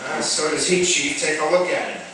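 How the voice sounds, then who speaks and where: A man playing an earnest, dutiful fire private in a radio drama, brisk and deferential in manner. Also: fairly high pitch, expressive, sharp tone. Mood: brisk, official. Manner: brisk, deferential to chief, curt with suspects. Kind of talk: radio theatre acting. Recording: very echoey, distant mic, faint noise.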